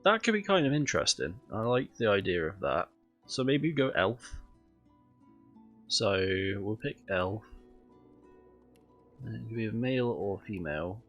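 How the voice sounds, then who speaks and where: A man's voice: fairly low pitch, unhurried, pauses often, dull audio, faint music behind. Nasal, stuffy-nosed man streaming. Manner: relaxed, thinking aloud with ums. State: stuffy nose from a cold. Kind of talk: casual game commentary.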